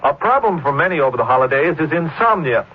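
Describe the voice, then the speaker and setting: An American man delivers a scripted radio news feature. His voice is fairly high and a little husky. The sound is narrow and tinny, like a phone line. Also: animated, fast.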